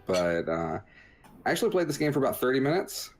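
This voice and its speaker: A man's voice: mid-range voice, big pitch swings, quick pace, faint music behind. A man casually commentating over gameplay footage, explaining things in a joking, meandering way. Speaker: podcast host reviewing a video game.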